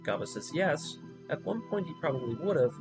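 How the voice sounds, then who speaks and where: A man giving a scripted story recap in a measured, explanatory storytelling manner, as the narrator of a lore video. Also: a little high, animated, over music.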